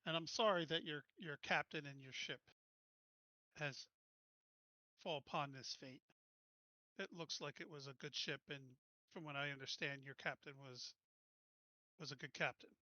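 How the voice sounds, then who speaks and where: A man's voice: on the high side, moderate pace, pauses often, bright, forceful tone, no room sound, lo-fi sound. A man playing a tabletop roleplaying game, speaking casually and plainly in character with some hesitation.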